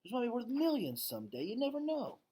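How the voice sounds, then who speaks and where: Kind young artist girl for a children's audiobook, voiced by a man, raised pitch, light and lively. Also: fast talker, husky, heavily acted. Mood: gentle, playful. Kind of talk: children's novel read aloud.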